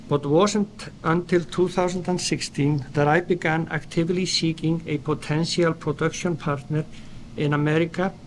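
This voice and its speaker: A middle-aged man from Iceland with an Icelandic accent, a company founder reading a prepared speech in a formal, measured way at an indoor event. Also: a little high, slight background noise, poor audio. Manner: formal, measured delivery read from paper. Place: indoor event at a dairy plant.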